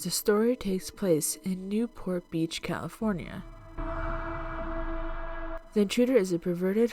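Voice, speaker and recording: A woman narrating scripted true-crime and urban legend stories as a video host, in a measured, dramatic storytelling manner. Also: on the low side. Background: music.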